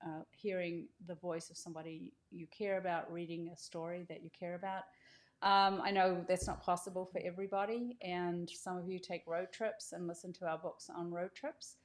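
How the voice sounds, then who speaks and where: A woman's voice: on the low side, fairly even intonation, moderate pace. An older woman speaking as an author and host, casually explaining things in an encouraging, inviting way. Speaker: author hosting a welcome video.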